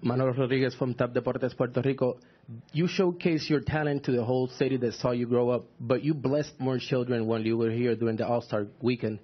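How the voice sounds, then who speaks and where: A male reporter asks a long, earnest question from the press room floor into a handheld mic. His voice is husky. The audio comes through dull and muffled. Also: mid-range voice, fairly even intonation, brisk. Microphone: handheld mic close to mouth.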